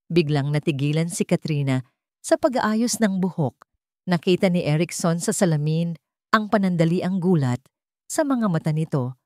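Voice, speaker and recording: A woman narrates a romantic drama from a script. Her voice sits a little low, and her delivery is dramatic storytelling. The sound is very dry, with no room echo. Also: warm tone.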